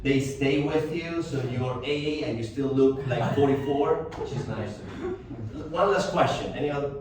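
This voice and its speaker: A man's voice: mid-range voice, moderate pace. Forties man from Colombia, native Spanish speaker, playful teacher; far-off mic in a noisy, echoey classroom. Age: 40s. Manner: joking, lively, asks students questions. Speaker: English teacher. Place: language school classroom.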